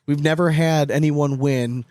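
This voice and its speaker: A man's voice: a little high, steady tone, measured pace, darkish tone, no echo. Loose, candid man co-hosting a podcast. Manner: loose and candid, swears freely, laughs between points. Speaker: podcast co-host. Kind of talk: casual sports chat.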